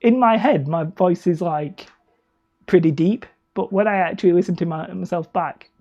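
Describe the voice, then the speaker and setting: Mellow, husky-voiced man chatting on stream. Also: normal speed, highs cut off, soft background music. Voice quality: calls his own voice high and whiny. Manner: rambling, self-deprecating, reads chat aloud. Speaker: hobby terrain-making streamer. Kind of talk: casual livestream chat while crafting.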